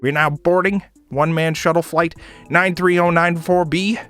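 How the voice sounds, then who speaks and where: Guy putting on spaceport announcer, formal boarding call delivery, lightish pitch, music in back. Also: fairly even intonation, moderate pace, fairly bright tone, clear, resonant tone, natural voice. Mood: formal, urgent.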